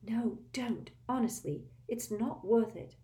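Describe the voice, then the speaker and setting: A woman's voice: a little high, slow, dark tone, reverberant, voice pushed up. An anxious, clever teenage girl from a storybook, urgent and pleading in a raised voice, as a woman reader acts her out. She sounds young and worried. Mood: whispering.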